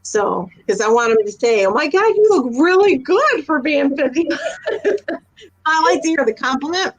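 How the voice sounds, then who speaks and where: A woman's voice: sits high, expressive, moderate pace, low-quality recording. A woman in her fifties chatting casually, telling everyday anecdotes in a talkative way. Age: 50s. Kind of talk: casual chat.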